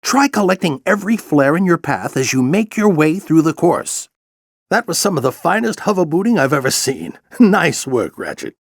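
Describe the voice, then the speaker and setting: An aged alien general from a video game, with a raised, creaky voice. He coaches in a theatrical, fervent way, swinging widely in pitch. The recording is tight and dry. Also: very animated, normal speed, no room sound, exaggerated voice. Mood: delighted, proud. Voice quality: gravelly, theatrical. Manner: grand, exhorting, coaching. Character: aged Lombax general, furry alien mentor, fervent and encouraging. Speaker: mentor and military veteran. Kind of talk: video game character acting.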